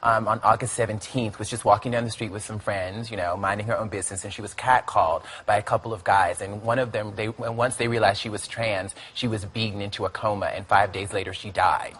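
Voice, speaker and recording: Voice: medium pitch, fairly even intonation, brisk, hardly any pauses, fairly bright tone, husky. A speaker talking earnestly as an advocate in an interview, often restarting phrases.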